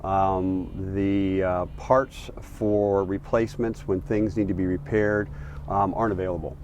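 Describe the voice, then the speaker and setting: Deep-voiced man answering interview questions. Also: flat delivery, measured pace, darkish tone, dull audio, a little hiss or hum.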